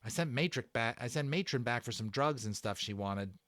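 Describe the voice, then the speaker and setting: A man's voice: medium pitch, animated, a little fast, fairly bright tone, no echo, studio quality, natural voice. A man voicing an eager, friendly schoolboy in a storybook, with a casual, chatty manner. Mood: chatty, amused.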